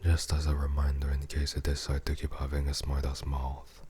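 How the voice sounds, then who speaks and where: A man playing a possessive, menacing, seductive mafia boss in an ASMR roleplay monologue, with a husky, breathy voice and a condescending, intimate murmur. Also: a bass voice, completely flat delivery, moderate pace, warm tone, a little hiss or hum. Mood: smug, amused.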